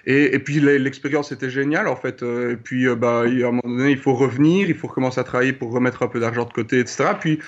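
A Belgian man in his thirties with a Liège accent, speaking hesitantly as an interview guest, full of "euh" and pauses. His mid-pitched voice comes over a slightly dull, muffled call line. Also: steady tone. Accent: Belgian French, Liège. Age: 30s. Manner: hesitant, many pauses and fillers. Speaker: guest, traveler. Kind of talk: remote interview.

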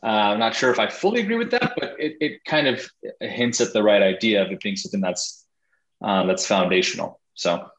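A man's voice: on the low side, lively intonation, fairly quick, fairly bright tone, roomy sound, rough recording. A man, a startup founder, answering interview questions over a video call in a thoughtful way with many fillers.

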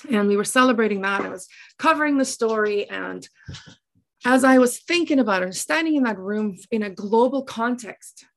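A middle-aged woman from South Africa with an Afrikaans accent, telling personal stories reflectively in an earnest way, often halting to search for words. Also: fairly high pitch, animated, fairly bright tone, poor audio. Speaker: interview guest, writer. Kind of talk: reflective personal storytelling in conversation.

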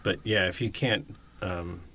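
A man's voice: deep voice, fairly even intonation, a little slow, background noise. A man guest on a casual podcast, answering in short, laid-back replies.

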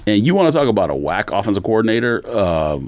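A man's voice: on the low side, big pitch swings, fast, a little mellow. A man hosting a sports podcast, giving blunt, argumentative takes and game picks in casual slang.

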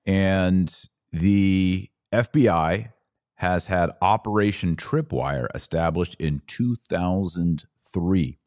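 A man's voice: low-pitched, takes it slowly, a little mellow, no room sound, studio quality. An American man hosting a podcast, giving news commentary in a conversational, emphatic manner. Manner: conversational, emphatic, rhetorical questions. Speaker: podcast host. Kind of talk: news commentary to a live online audience, reading out quotes.

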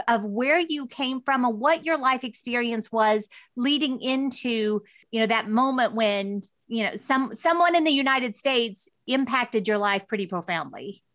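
A woman's voice: fairly high pitch, moderate pace, hardly any pauses, a bit bright, dry recording, studio quality. A woman hosting a podcast interview, talking in an earnest, enthusiastic way. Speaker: podcast host.

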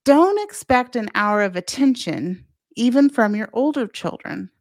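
Higher-voiced woman hosting a podcast. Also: animated, unhurried, no room sound, clean studio sound. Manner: encouraging, direct address to parents. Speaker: podcast host. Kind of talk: scripted solo podcast advice.

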